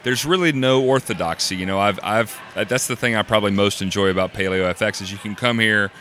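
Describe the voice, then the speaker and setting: A man in his thirties, a guest in a casual interview on a busy expo floor, with a low voice and an easygoing, joking way of talking. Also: fast talker, fairly bright tone, a little hiss or hum. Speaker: guest, cookbook author.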